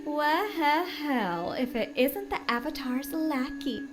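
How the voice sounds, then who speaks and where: A woman's voice: high voice, animated, slow. A woman playing a smug young farm girl bully in a visual novel, taunting with drawled mock politeness. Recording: music behind the voice.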